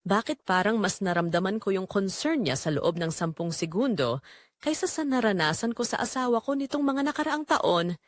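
A woman playing Rosa's part, a lonely, neglected wife in her forties, telling her story in a confessional, dramatic audio narration with short pausing phrases. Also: medium pitch, expressive, no echo, clean studio sound, lightly acted. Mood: conflicted, longing. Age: 40s. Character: Rosa, lonely neglected forty-three-year-old wife, wistful and conflicted.

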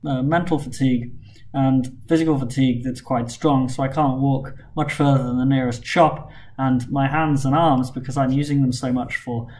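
A man's voice: mid-range voice, fairly even intonation, darkish tone. A man in his thirties recording a weekly vlog at home, talking earnestly and steadily while worn out from a long illness. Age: 30s. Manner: measured, earnest, plain-spoken with frequent "uh" fillers. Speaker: vlogger and company founder. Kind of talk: weekly vlog update to camera. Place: home room with bookshelves, indoors.

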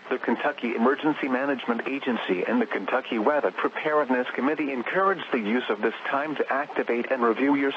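A man reading scripted emergency test announcements as a weather radio announcer, in a formal, measured, synthetic-sounding delivery over a staticky broadcast. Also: mid-range voice, lo-fi sound, a little hiss or hum.

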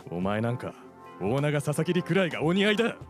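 A man's voice: on the high side, big pitch swings, quick pace. A man playing a stern, protective soldier ant with a commanding manner in a radio drama. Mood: angry, threatening. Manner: commanding, threatening. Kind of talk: live radio drama acting. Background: music.

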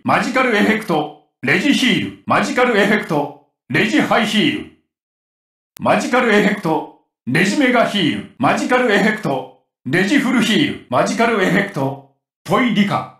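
Gruff, brash male game warrior, animated delivery, distant roomy recording. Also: sits high, heavily acted. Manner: forceful, rough-spoken, cocky. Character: gruff, brash grown male warrior who loves cooking. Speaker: game voice actor. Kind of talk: Japanese anime video game battle voice clips.

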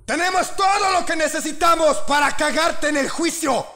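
A man's voice: very high-pitched, moderate pace, noisy background. A man with a Latin American Spanish accent voicing a ruthless young prosecutor in a film dub, taunting and intimidating a suspect with vulgar contempt. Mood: furious. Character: ruthless young male prosecutor, menacing, explosively angry interrogator. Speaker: fan dub voice actor. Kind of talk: dramatic film dub acting.

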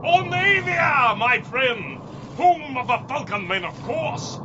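A man's voice: very high voice, very animated, takes it slowly, noisy background, full character voice. An actor playing a proud, hearty bird-man war leader in a theatrical pulp audio drama. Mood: proud, amused. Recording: dull lo-fi audio under loud music.

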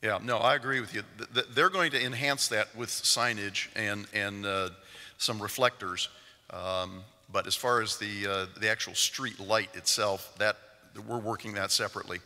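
A man's voice: lowish voice, steady tone, normal speed, sharp tone. An older man answering residents' questions at a community meeting from a lectern, in a plain, patient way with many fillers. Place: large church sanctuary. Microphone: stand mic at a lectern. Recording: long hall reverb.

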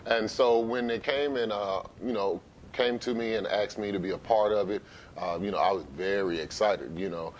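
Deep-voiced American man, conversational and hesitant. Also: moderate pace, poor audio. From Memphis, United States. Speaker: interview guest, sports arena announcer. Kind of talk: casual interview answers. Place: living room, seated on couch. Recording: faint low background noise.

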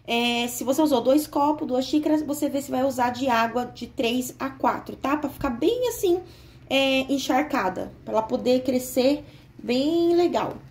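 Chatty high-voiced Brazilian woman cooking. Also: darkish tone, a little hiss or hum. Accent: Brazilian Portuguese. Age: young adult. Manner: chatty, explains step by step with frequent "tá?" check-ins. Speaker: home cooking vlogger. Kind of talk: casual cooking tutorial. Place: home kitchen.

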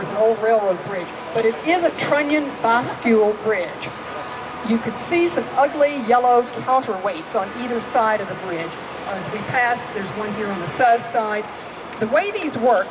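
A woman giving a guided tour commentary over a boat's speaker, explaining at length with frequent "uh" pauses. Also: a little high. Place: open-air tour boat on a city river. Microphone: public address speaker, recorded from the audience. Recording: loud boat and outdoor noise. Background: boat engine and river ambience.

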